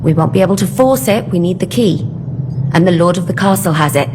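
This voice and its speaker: A woman playing a brisk, sardonic medieval conspirator in a video game, speaking in a dry, practical manner. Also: fairly low pitch, noisy background, natural voice. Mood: practical, urgent. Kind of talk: cinematic video game acting.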